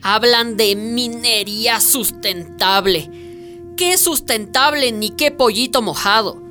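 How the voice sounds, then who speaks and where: A woman's voice: fairly high pitch, slow pace, pushed and bright, faint music behind. An actress playing an outspoken woman activist who speaks indignantly in a radio drama. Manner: indignant, emphatic.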